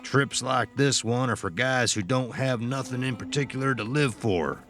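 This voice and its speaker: An actor playing a cynical, foul-mouthed outlaw with rough frontier speech in a western audio horror drama. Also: male voice, mid-range voice, moderate pace, a bit bright, soft background music, lightly acted. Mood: grim, cynical.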